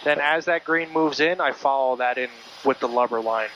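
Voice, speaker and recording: A man's voice: mid-range voice, moderate pace, fairly bright tone. A man working as a flight instructor, patiently and casually explaining things step by step over a cockpit headset. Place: small aircraft cockpit in flight. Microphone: aviation headset intercom. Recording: muffled intercom audio with clear cabin noise.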